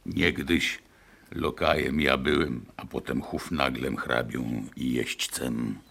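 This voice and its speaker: A man's voice: extremely low pitch, steady tone, takes it slowly, vocal fry, a bit bright, breathy edge, heavily acted. An actor playing a grandiose, commanding fallen nobleman in a theatrical, declamatory radio play style. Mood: wistful, proud.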